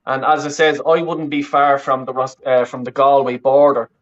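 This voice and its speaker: Irish man with a Dublin accent, casual guest, rambling and swearing freely, middling pitch, muffled audio. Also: little pitch movement, normal speed. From west of Ireland. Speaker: interview guest, fan channel creator. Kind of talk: casual chat.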